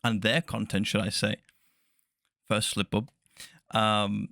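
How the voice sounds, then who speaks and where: A man hosting a soft-spoken interview podcast, chatty and laughing often, correcting himself as he goes. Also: fairly low pitch, little pitch movement, slow, vocal fry, no echo, clean studio sound. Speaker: podcast host.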